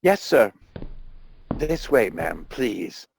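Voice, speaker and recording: An actor playing a meek, kindly, underpaid clerk in a radio play, timid, halting and deferential. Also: male voice, on the low side, big pitch swings, creaky, a little hiss or hum, heavily acted.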